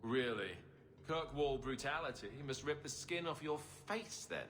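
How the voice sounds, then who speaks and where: A man's voice: mid-range voice, animated, moderate pace, a bit bright, close to an everyday voice. An actor playing an affable, self-deprecating king in a video game, with a wry, informal manner. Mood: wry, awkward. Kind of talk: video game cutscene acting.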